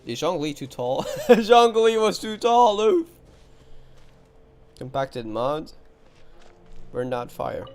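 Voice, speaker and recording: A man's voice: fairly high pitch, sing-song, takes it slowly, pauses often, a little mellow. A man giving casual commentary as he plays a game, thinking aloud in short remarks. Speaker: game streamer. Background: game music.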